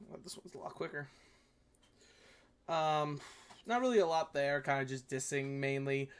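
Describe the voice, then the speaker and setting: A man's voice: on the high side, normal speed, clean tone. A man with a New York accent hosting a history review channel, talking casually and correcting himself as he goes. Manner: rambling, self-correcting, apologetic asides. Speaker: history video host. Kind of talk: casual commentary reviewing a video.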